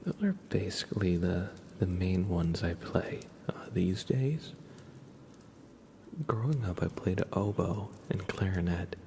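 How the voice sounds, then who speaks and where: A man with a congested, stuffy nose chats casually with viewers as an ASMR livestream host, hushed and often whispery. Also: low voice, slow, slight background noise.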